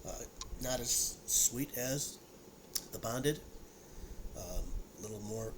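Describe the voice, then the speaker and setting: A man in his sixties, audibly congested and sniffing, rambles through a relaxed home whiskey tasting. His voice sits on the low side, and he trips over repeated words and fillers. A clear hiss runs underneath the recording. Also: slow pace, poor audio. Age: 60s. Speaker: whiskey review vlogger. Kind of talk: casual solo tasting chat.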